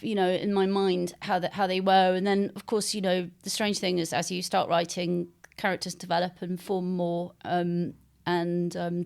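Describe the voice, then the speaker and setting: A woman novelist being interviewed, speaking conversationally and thoughtfully, often pausing to search for words. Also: lowish voice, clean tone.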